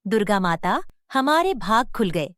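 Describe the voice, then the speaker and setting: A woman's voice: mid-range voice, very animated, a little slow, a bit bright, no echo, studio quality. A woman voicing a remorseful mother in a cartoon story, pleading for forgiveness. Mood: repentant. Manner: pleading, repentant.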